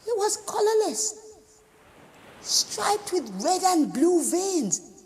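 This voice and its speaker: An actress playing a woman haunted by the loss of a newborn, speaking in a slow, halting stage monologue. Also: very high-pitched, big pitch swings, darkish tone, in a large hall, slight background noise, full character voice. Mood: anguished.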